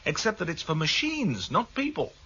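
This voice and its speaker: An actor playing a breezy, scoffing, confident colleague chatting emphatically in a radio drama. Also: male voice, fairly high pitch, wildly swooping pitch, moderate pace, pushed and bright, breathy edge, lo-fi sound, full character voice. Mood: confident, boastful.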